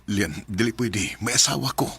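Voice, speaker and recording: A man's voice: on the low side, expressive, moderate pace, creaky, heavy background noise. A man with a Cebuano accent acting out his own story in a radio drama, speaking hesitantly and apologetically.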